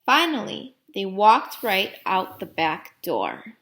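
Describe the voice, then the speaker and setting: A woman reading a children's storybook aloud like a teacher, deliberately, putting questions to young listeners. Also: mid-range voice, wildly swooping pitch, fairly bright tone.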